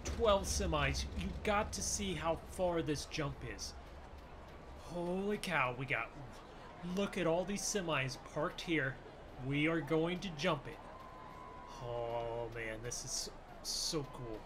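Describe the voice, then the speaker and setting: An excitable man improvises a gaming roleplay in casual slang. His voice sits a bit high and he takes his words slowly. Loud vehicle noise runs along with him. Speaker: gaming video creator role-playing a driver.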